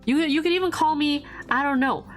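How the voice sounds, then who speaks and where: A woman's voice: high voice, a little fast, soft background music. A young woman streaming while she draws, chatting casually with viewers in a giggly, rambling way. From Vietnamese family, born outside Vietnam. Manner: chatty and giggly, lots of restarts and fillers.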